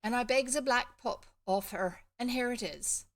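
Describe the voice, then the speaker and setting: A woman's voice: fairly high pitch, normal speed, pitched above the performer's own voice, close to an everyday voice. A woman voicing a good-natured, eager farm boy from a storybook, with a West Country accent and self-important rustic dialect. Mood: proudly important. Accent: English West Country.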